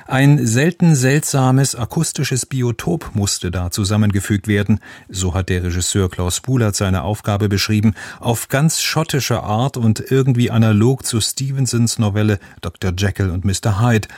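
A man's voice: fairly low pitch, normal speed, few pauses, darkish tone, no echo, clean studio sound. A man presenting on the radio, reading a scripted introduction to a broadcast.

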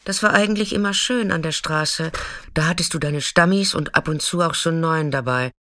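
A woman's voice: low-pitched, fairly quick, sharp tone, no room sound, clean studio sound. An actress playing a tough, defiant street sex worker, telling her story in a blunt, colloquial radio drama monologue. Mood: frank, nostalgic. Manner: colloquial, blunt, swinging from intimate recollection to agitated outbursts.

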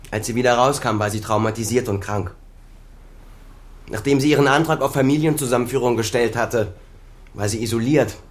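A male actor plays an embittered grown son in a radio drama. His voice sits fairly low, and he speaks in cutting, accusing phrases, full of reproach toward the father he is estranged from. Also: close to an everyday voice. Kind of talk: naturalistic radio drama acting.

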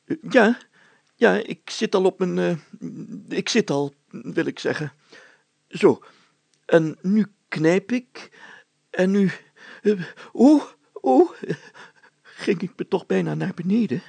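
A man's voice: high-pitched, animated, slow, gravelly, long pauses, darkish tone, breathy edge, dry recording, voice pushed up, full character voice. A man voicing a plump, fearful older mayor who stammers, blusters and protests in a children's story. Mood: panicky, hesitant. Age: middle-aged to older.

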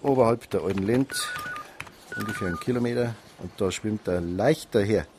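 An actor playing a folksy small-town desk policeman, colloquial and excitable, with a Bavarian accent. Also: lowish voice, normal speed, background noise, natural voice. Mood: casual, busy. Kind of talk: naturalistic radio drama acting.

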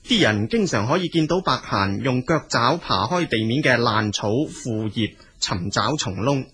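A male storyteller with a Cantonese accent narrates in a colloquial spoken style. His voice sits at a medium pitch and is strongly husky and rough. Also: a bit bright.

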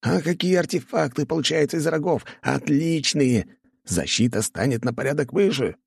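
A man playing an excitable, obsessive artificer in an audiobook, with a strained, raspy and agitated delivery. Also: a little high, moderate pace, no echo, pitched above the performer's own voice, exaggerated voice. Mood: agitated, fervent. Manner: agitated, emphatic. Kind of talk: audiobook character acting.

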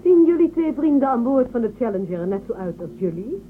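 A woman plays a commanding imperial adviser in a radio play, probing and insistent. Her voice is on the high side and very animated, heard through a tinny, phone-like recording. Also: brisk. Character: probing, authoritative woman adviser in a space empire. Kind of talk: Dutch radio drama acting. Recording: tinny phone-like band, loud background noise.

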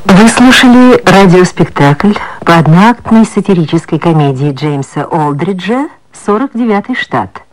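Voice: medium pitch, expressive, a little slow, rough recording. An announcer reading formal scripted closing credits in 1950s radio style.

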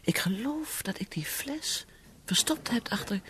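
An actress playing a desperate, defensive middle-aged alcoholic wife in a Dutch radio play, speaking haltingly and often dropping to a whisper. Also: female voice, on the high side, lively intonation, fairly bright tone. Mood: anguished, confiding. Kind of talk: Dutch radio play acting with inner monologue.

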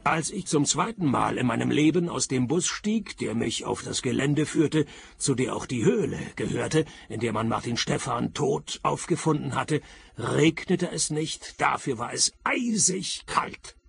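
An actor playing a laconic, sarcastic private detective, narrating and questioning with deadpan wit in a radio play. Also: male voice, mid-range voice, a little slow, gravelly, husky, full character voice. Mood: deadpan, sardonic. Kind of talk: radio play first-person narration and dialogue.

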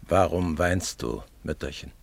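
Deep, gentle consoling man. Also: a little slow, gravelly, dry recording, full character voice. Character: gentle, consoling man comforting an old mother.

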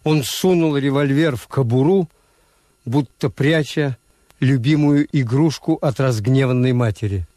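An actor playing a weary, cynical middle-aged hotel owner who tells his story in a measured, wry way in a Soviet radio drama. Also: mid-range voice, warm tone, natural voice.

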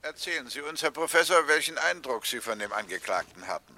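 A man's voice: medium pitch, very animated, a little fast, sharp tone, full character voice. A man acting a methodical prosecuting barrister in a 1960s German radio play, speaking formally and pointedly. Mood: pointed, assured.

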